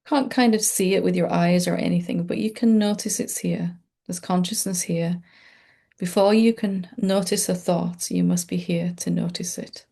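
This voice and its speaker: A woman meditation teacher leading a gentle, unscripted guided meditation in a soothing, informal and reassuring way. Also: fairly low pitch, fairly even intonation, normal speed, creaky, husky.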